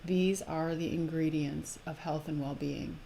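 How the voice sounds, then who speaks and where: Female live-stream host with a low register, delivering spiritual readings and casual talk over slight noise. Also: fairly even intonation, a little slow, gravelly, dark tone, low-quality recording. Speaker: host of a live spiritual oracle card presentation.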